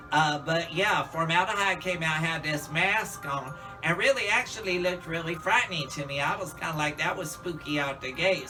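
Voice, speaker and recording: A man's voice: a little high, far from the mic, low-quality recording. A man in a casual, campy monologue, chatting to viewers as a drag queen reviewer.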